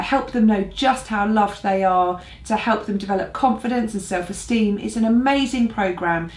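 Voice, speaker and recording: A woman in her forties with an English accent, a pastor giving friendly church announcements to camera. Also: mid-range voice. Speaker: senior pastor presenting a church newsletter. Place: small living room, on a sofa. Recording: roomy, echoey living room.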